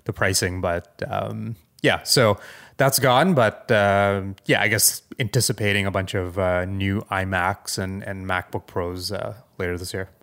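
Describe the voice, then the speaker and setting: A man's voice: low-pitched, steady tone, a little slow, vocal fry, fairly bright tone. A man co-hosting a casual tech podcast, thinking aloud in a tentative, conversational way. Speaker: podcast co-host.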